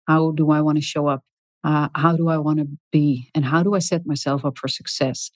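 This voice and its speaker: A middle-aged woman speaking in a calm, soft, deliberate way as a podcast guest, with many small pauses and ums. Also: sits low, no room sound. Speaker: guest expert on leadership. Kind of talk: podcast interview.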